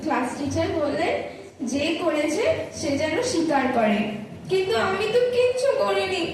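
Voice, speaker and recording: Woman doing earnest little schoolgirl, high voice, emotive drama reading, super echoey hall. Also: lively intonation, moderate pace. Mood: anxious, hurt. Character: earnest, sensitive schoolgirl confiding in her mother. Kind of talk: stage audio-drama recitation. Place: echoey room at a recitation festival. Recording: echoey hall, far mic, faint noise.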